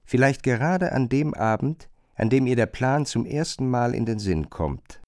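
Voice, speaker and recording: A man narrating a literary radio drama in a measured, pointed, questioning manner. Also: medium pitch, lively intonation, a little mellow, no echo, clean studio sound, natural voice.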